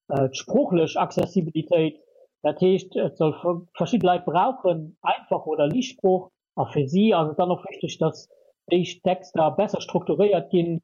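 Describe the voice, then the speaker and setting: A Luxembourgish man answers a radio interviewer and hesitates often, with many fillers. His voice sits a little high and sounds husky and mellow. The recording is dull and muffled. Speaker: guest from a communication center.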